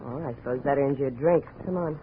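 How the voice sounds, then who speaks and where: A woman's voice: rumbling low, fast talker. An actress playing a wary, cynical blonde woman in a 1950s radio drama, with a sardonic, hard-bitten manner. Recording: muffled, noisy old radio recording.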